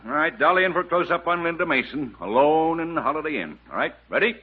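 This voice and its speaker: An actor playing a commanding, salesmanlike Hollywood film director in a 1950s radio drama. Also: male voice, a little high, lively intonation, quick pace, breathy edge. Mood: brisk, commanding. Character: smooth-talking Hollywood film director, a man. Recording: dull, muffled 1950s radio recording.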